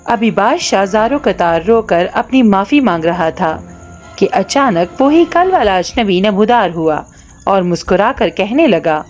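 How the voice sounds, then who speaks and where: A woman narrating a scripted children's cartoon story in an expressive storytelling manner. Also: mid-range voice, unhurried, soft background music.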